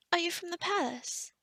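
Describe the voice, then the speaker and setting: A woman's voice: very high-pitched, creaky, pushed and bright, no echo, exaggerated voice. A woman playing a kind, flustered young-sounding forest witch in an ASMR roleplay, stammering and often dropping to a whisper. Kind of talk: ASMR roleplay addressed to the listener.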